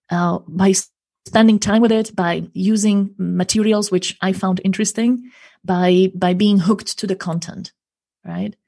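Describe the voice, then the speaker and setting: A woman's voice: mid-range voice, creaky, darkish tone, slightly rough. A woman working as a language coach and interpreter, answering interview questions in an earnest, explanatory way, often ending points with a tag question.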